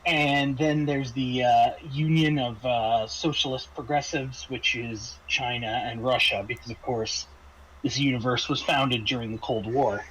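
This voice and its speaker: Slow, halting man as game master. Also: medium pitch, steady tone, a bit bright, a little hiss or hum, low-quality recording. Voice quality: halting delivery with frequent hesitations and restarts. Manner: casual, explanatory. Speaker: game master of a tabletop roleplaying session. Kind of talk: semi-improvised briefing to players, narrating a scenario.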